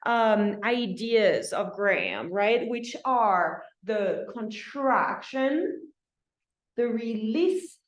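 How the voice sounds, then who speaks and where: A woman's voice: fairly high pitch, sing-song, very slow. A woman from France with a French accent, instructing a dance class in an encouraging way and often checking in with her students.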